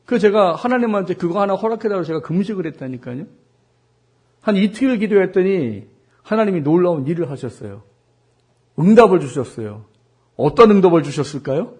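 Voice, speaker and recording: A man in his forties from South Korea preaching a sermon as a pastor, with a husky, worn voice, putting questions to his listeners and repeating words for emphasis. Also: high voice, expressive, fairly quick, darkish tone. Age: 40s. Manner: rhetorical questions to the congregation, emphatic repetition. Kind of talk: Sunday sermon with personal anecdotes.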